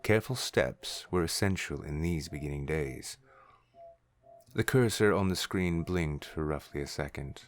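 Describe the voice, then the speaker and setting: A man's voice: a bass voice, measured pace. A man reading scripted narration for a science fiction story. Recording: music under the voice.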